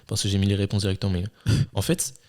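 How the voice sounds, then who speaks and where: Low-voiced hesitant male quiz host. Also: completely flat delivery, fast talker, dark tone. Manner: hesitant, lots of fillers. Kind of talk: casual chat.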